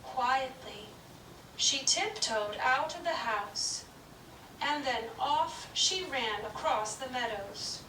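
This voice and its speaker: Slow, high-voiced woman storyteller. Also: expressive, pushed and bright. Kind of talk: storybook narration. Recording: distant, roomy, noisy room.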